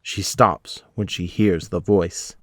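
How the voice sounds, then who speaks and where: A man's voice: deep voice, steady tone, slow, dry recording, clean studio sound. A man narrating a scripted audio drama, setting each scene in the present tense.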